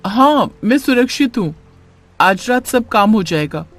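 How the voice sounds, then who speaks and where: A man's voice: high-pitched, animated, a little slow, fairly bright tone, soft background music, voice pushed up. A man voicing a polite young woman, stranded and mysterious, in a horror cartoon story. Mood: calm, secretive. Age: young adult.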